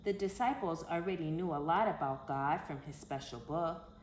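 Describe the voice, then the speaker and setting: Woman, lowish voice, children's read-aloud, dramatic emphasis, echoey room, faint noise. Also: moderate pace. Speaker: storyteller for children. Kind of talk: expressive children's picture book read-aloud.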